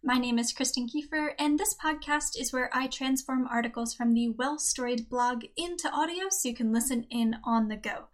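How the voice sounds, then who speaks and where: A woman hosting a podcast and reading a writing-advice article aloud, upbeat and clearly paced, her voice a little scratchy today. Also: high voice, moderate pace, few pauses. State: slightly scratchy voice. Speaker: podcast host.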